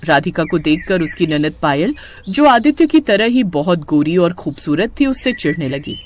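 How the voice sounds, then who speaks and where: A woman's voice: fairly low pitch, sing-song, moderate pace, a little hiss or hum. A woman reading scripted storytelling narration for a cartoon tale.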